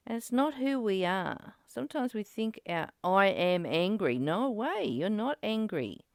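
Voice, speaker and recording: Woman, spiritual study host, creaky higher voice, earnest, reflective questions, close dry sound. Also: a little mellow, no room sound. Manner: earnest, uses rhetorical questions. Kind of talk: informal spiritual teaching and discussion.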